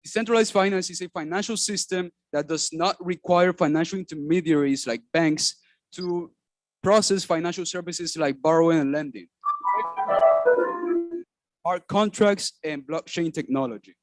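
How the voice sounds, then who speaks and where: A man delivering a rehearsed startup pitch, walking through numbered points in a steady scripted way. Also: moderate pace, faint music behind. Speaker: startup founder presenting.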